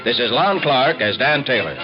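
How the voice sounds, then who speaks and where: A man's voice: mid-range voice, sing-song, normal speed. A man announcing from a script on a muffled vintage radio program, with music behind him. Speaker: actor and announcer.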